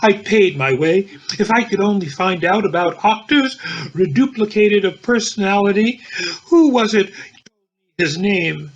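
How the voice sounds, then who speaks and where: A man voicing a drunken, impatient Oxford don, muddled and groping for memories, with a husky voice. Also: sits high, lively intonation, unhurried, voice pushed up. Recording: dull lo-fi home recording.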